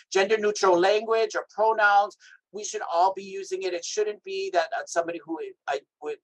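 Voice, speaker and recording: A man from Hawaii with a naturally high voice, giving an emphatic workshop talk over a video call and checking in with "right?". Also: rough recording. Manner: lively, emphatic, asks "right?" often. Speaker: health equity trainer. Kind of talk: workshop presentation.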